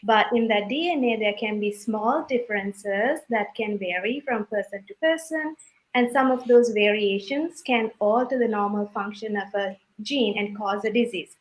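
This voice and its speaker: A woman's voice: mid-range voice, rough recording. A woman scientist speaking as a panel guest, giving a prepared, explanatory self-introduction.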